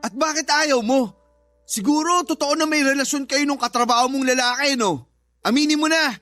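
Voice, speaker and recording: A man's voice: very high-pitched, normal speed, sharp tone, faint music behind. A man playing a jealous, bullying live-in partner, commanding and accusing, in a Tagalog radio drama. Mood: accusing, stubborn.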